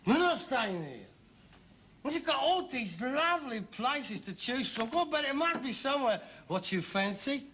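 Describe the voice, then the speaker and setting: An actor playing a scheming, sarcastic working-class son with a London accent, bantering theatrically in a British sitcom. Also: male voice, extremely high pitch, sing-song, normal speed, pushed and bright, slight background noise, exaggerated voice. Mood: eager, persuasive.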